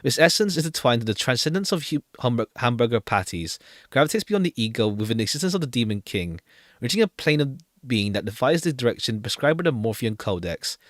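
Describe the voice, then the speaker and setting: A man's voice: mid-range voice, big pitch swings, quick pace, no room sound, studio quality. A man streaming a game, chatting casually and reading dialogue aloud in a rambling, chatty manner. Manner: rambling, chatty, repeats himself. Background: background music.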